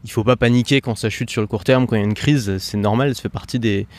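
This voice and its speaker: A man hosting a casual conversational interview, explaining investing ideas quickly with frequent fillers and restarts. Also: medium pitch, a little mellow. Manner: fast, explanatory, peppered with fillers. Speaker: podcast host.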